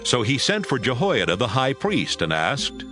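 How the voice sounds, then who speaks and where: A man narrating an audio Bible drama from a script in a measured storytelling manner. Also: mid-range voice, huge pitch swings, music in the background.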